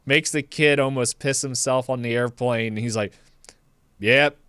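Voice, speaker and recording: A man's voice: medium pitch, fairly even intonation, unhurried, a bit bright, smooth, no room sound, clean studio sound. A man co-hosting a podcast, giving a casual, rambling, comedic movie recap and acting out the characters' lines in his own words. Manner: laughs through the telling.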